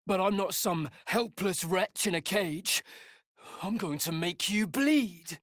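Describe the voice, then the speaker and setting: A man's voice: sits high, takes it slowly, fairly bright tone, dry recording, clean studio sound. An actor playing an arrogant, sneering young male vampire spawn in a video game, haughty and taunting, with a drawling delivery. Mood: menacing. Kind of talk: dramatic video game character acting.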